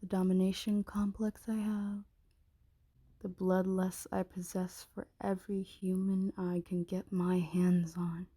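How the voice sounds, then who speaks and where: A woman playing a menacing, possessive dragon shifter queen in an ASMR roleplay, commanding and often slipping into breathy whispers. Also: on the low side, level tone, a little slow, mellow, no echo. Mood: taunting, threatening.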